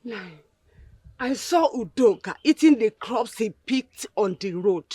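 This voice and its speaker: A woman's voice: a little high, lively intonation, takes it slowly, dark tone, breathy edge, dry recording, clean studio sound, full character voice. A woman playing a grieving, tearful mother. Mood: distressed. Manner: lamenting, tearful pleading. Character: grieving mother of a mad son.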